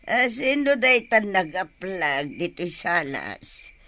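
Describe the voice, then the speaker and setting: An actress playing a boisterous, tipsy old grandmother in an Ilocano comedy radio drama, loud and drawling, with an Ilocano accent. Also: fairly low pitch, sing-song, slow pace, heavily acted. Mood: tipsy, bossy. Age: older. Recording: background noise and ambience.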